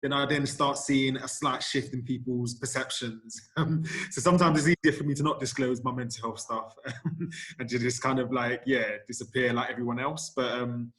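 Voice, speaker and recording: A man's voice: medium pitch, fairly even intonation, normal speed, fairly bright tone. A man working as a lived experience consultant, speaking hesitantly with many fillers and restarts on a video-call panel. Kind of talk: panel discussion.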